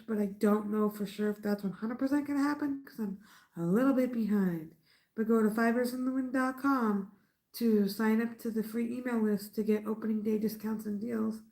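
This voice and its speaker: Stuffy woman with a creaky voice. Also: mid-range voice, a little mellow. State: stuffy nose from a mild cold. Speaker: crochet channel host. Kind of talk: casual late-night livestream chat.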